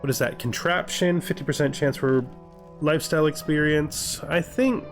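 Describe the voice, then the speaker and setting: A man's voice: a little high, normal speed, faint music behind. A man giving casual gameplay commentary, reading game text aloud and reacting with dry, offhand humour. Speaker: gaming video host.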